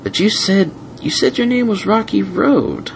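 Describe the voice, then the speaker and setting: Soft-hearted young pony stallion, voiced by a man, high and earnest, faint hiss behind. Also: animated, a little slow, gravelly, exaggerated voice. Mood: bewildered. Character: young male pony, gentle and pleading.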